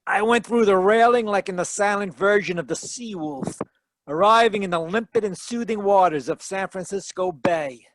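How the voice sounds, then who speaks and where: A man playing a wry, deadpan hardboiled detective who narrates his case in 1940s radio style. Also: high voice, normal speed, dry recording, lightly acted. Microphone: close home mic on a video call.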